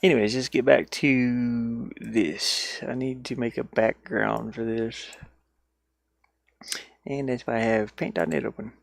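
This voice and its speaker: A man giving casual, easygoing devlog commentary as an indie game developer, halting and thinking out loud while he works. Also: medium pitch, fairly even intonation, creaky, no room sound. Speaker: indie game developer showing his own game.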